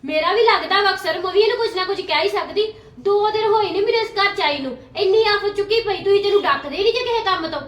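A woman acts out a quick-tempered young sister-in-law in a family drama, snapping out fast, scolding lines in a very high voice. Her retorts come rapid-fire. The room around her rings with echo. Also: fairly bright tone, recorded from a distance. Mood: indignant.

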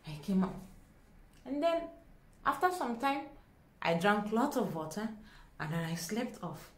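A young woman with a Nigerian accent tells a story to camera in a dramatic, expressive way, acting out dialogue as she goes. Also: medium pitch, a little slow. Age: young adult. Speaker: vlogger. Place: small indoor room at home. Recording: echoey small room.

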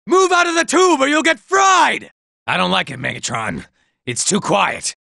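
A man voicing a nervous, boastful male robot soldier in a video game, shouting in panic and tossing out sarcastic asides. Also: very high-pitched, expressive, normal speed, gravelly, no room sound, studio quality, full character voice. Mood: wary.

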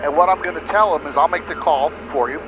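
Higher-voiced American male flight instructor, cockpit noise. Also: fast talker, highs cut off. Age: middle-aged. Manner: explanatory, step-by-step. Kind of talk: hands-on instruction. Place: small airplane cockpit in flight. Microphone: aviation headset. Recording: headset audio with engine noise.

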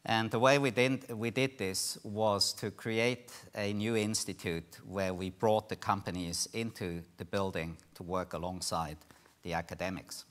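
An older Swiss-accented man speaks in a deep, low register from a conference stage. His delivery is measured, dotted with ums and uhs as he presents to the panel audience. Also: fairly even intonation, a bit bright. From Switzerland. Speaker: panelist, institute director.

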